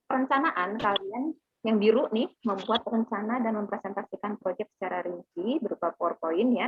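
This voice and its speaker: A woman's voice: medium pitch, slightly rough, no echo. A woman teaching an online class, explaining plans and often checking that students understand. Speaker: teacher giving a class briefing. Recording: narrow phone-like online call audio.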